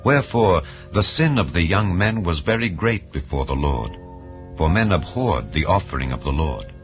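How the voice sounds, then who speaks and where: Deep-voiced solemn man reading scripture. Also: very animated. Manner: solemn, formal, measured storytelling. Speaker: narrator. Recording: muffled lo-fi audio, music bed. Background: background music.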